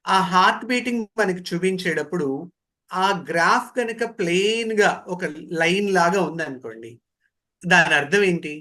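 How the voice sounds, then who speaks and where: Bright-voiced man leading a training session. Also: high-pitched, animated, moderate pace. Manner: didactic, poses questions and repeats key phrases. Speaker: voice modulation trainer. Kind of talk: interactive training lecture.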